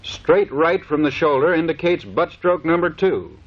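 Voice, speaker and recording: A man's voice: on the high side, lively intonation, normal speed. An American man giving formal, clipped, scripted instructional narration in the manner of a 1930s military training film. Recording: dull vintage 1930s film soundtrack.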